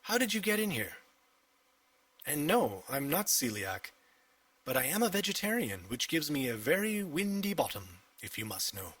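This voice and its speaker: A man's voice: a little high, sing-song, moderate pace, fairly bright tone, husky, dry recording, distant mic, pitched above the performer's own voice, heavily acted. A man voicing a blunt, flustered, eccentric old Renaissance genius in a children's audiobook. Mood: indignant surprise.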